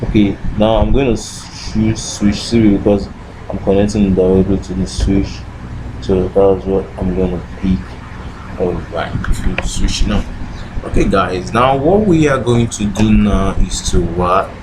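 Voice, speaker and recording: A man's voice: sits low, fairly even intonation, slow, noisy background. A man giving an informal step-by-step tech tutorial as an instructor, often posing short questions to himself and answering them. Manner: asks and answers own questions with repeated "what".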